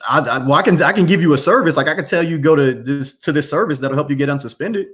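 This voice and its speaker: A man's voice: fairly high pitch, fast talker, fairly bright tone. A man chatting casually and earnestly as a guest promoting his course, full of slang and repetition.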